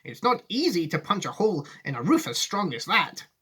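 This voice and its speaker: A woman voicing an eccentric, unflappable gentleman factory owner in a theatrical storybook reading. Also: fairly low pitch, big pitch swings, fairly quick, husky, voice pushed up, heavily acted. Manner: theatrical, exclamatory, whimsical.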